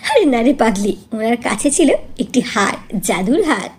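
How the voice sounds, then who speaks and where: A woman voicing a gentle, patient young mother in a cartoon, soothing as she explains. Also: a little high, big pitch swings, slow, husky, exaggerated voice. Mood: amused. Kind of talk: cartoon voice acting.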